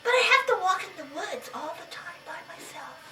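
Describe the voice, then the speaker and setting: A woman's voice: very high voice, big pitch swings, a little fast. A woman voicing a sweet, nervous but plucky little old lady in a homemade puppet show, fussing and repeating herself. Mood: chirpy, brave. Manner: exclamatory, repeats herself, fussy. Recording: echoey room, distant mic, faint noise.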